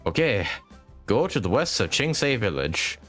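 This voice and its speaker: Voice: medium pitch, very animated, unhurried, a bit bright, faint music behind. A game streamer giving casual, giggly live commentary while musing aloud.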